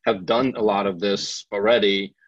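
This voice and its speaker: Low-voiced man, dull dry audio. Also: fairly even intonation, moderate pace, dry recording. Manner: hesitant, many fillers. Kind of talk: casual conversation.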